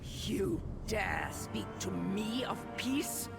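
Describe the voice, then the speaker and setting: A woman's voice: mid-range voice, animated, drawn-out words, gravelly, heavily acted. A woman playing a furious, vengeful elven warrior priestess in a game, scornful and imperious, biting out the words. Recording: loud music behind.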